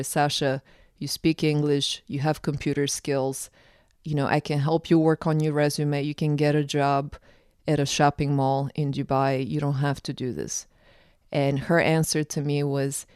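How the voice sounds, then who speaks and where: A woman's voice: deep voice, a little mellow, smooth, no echo, clean studio sound. A woman from Bulgaria, a documentary filmmaker, answering interview questions in an earnest, emphatic way.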